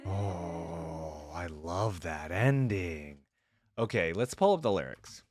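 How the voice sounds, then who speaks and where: A man's voice: sits low, lively intonation, takes it slowly, dry recording. A man giving casual, appreciative reaction commentary as a video host, in informal slangy fan talk. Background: faint music.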